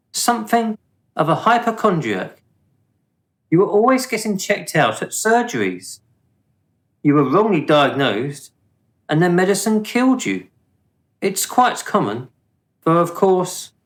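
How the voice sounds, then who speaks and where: A man acts a smug, officious bureaucrat of the afterlife in a radio play. He talks slowly and deliberately, condescending and drily mocking, with an expressive, swooping pitch. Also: heavily acted.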